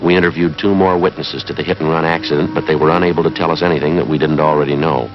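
A man's voice: rumbling low, steady tone, very fast, over music. A man in his thirties playing a terse, matter-of-fact police detective in clipped 1950s procedural style. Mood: businesslike, deadpan. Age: 30s. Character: terse, businesslike male police detective narrating his case. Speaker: detective and narrator. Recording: dull 1950s film soundtrack.

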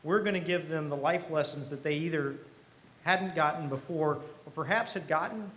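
A middle-aged man giving a conversational keynote speech at a podium microphone, earnest and emphatic, with deliberate pauses. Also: a little high, a bit bright, poor audio. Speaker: company president, keynote speaker. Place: event hall stage. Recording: live podium speech with clear background noise.

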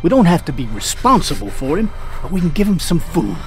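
Principled survivor, earnest, argumentative, fairly high, lively intonation, game drama. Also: male voice, fairly quick, music in the background. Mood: earnest, insistent. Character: compassionate, principled male survivor pleading for mercy. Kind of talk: dramatic video game acting.

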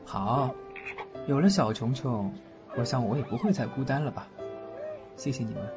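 A man acts a lonely wanderer, tender as a new godfather in an audio drama. The recording is slightly dull and has clear music behind the voice. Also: medium pitch, very animated, normal speed. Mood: tender, moved. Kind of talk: Chinese audio drama acting.

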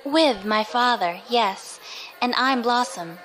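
Casting: actress for young, poised, dangerous heiress, audio drama, polite but pointed, slightly high, music underneath. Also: female voice, slow, a bit bright, poor audio. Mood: polite, assured. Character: poised, deadly young daughter of a feared father.

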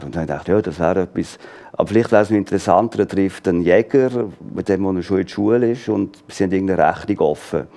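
A man in his fifties with a Swiss German accent, a guest in a studio interview, relaxed and laughing often as he thinks aloud. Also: low-pitched. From born in London, raised in Graz and Olten. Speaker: author guest. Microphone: lapel.

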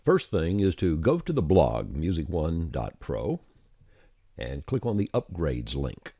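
A man narrating a scripted software tutorial, explaining things plainly step by step. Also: a bass voice, wildly swooping pitch, a little slow, husky, no room sound. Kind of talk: scripted instructional screencast narration.

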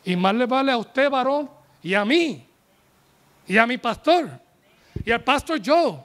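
A man in his forties preaching a sermon from a pulpit, animated and joking as he calls on the congregation, speaking into a handheld microphone. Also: very high-pitched, unhurried. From United States. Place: church tent stage with a pulpit.

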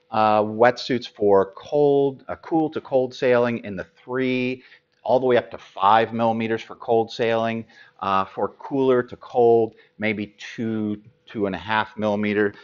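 An American man in his fifties presenting gear as an expert, explaining informally and haltingly to camera. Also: mid-range voice, highs cut off. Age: 50s.

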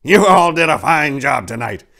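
A jolly old storybook elf, performed by a male reader. He sounds proud and approving, chortling along with animated swings in pitch. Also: normal speed, vocal fry, full character voice.

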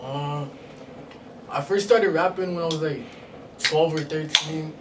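A man's voice: on the high side, steady tone, unhurried, far from the mic, slight background noise. A young man, a rapper, chatting casually in an interview, full of street slang and repeated "you know what I'm saying" tags. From Detroit, raised in Durham Region, Ontario. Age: young adult. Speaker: rapper guest. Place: small studio room, seated on a couch.

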